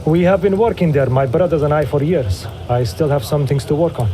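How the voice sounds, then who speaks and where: An actor playing a gentle, thoughtful scholar who welcomes a guest kindly. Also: male voice, fairly high pitch, fairly quick, a little hiss or hum, natural voice. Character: gentle male scholar and hidden brother.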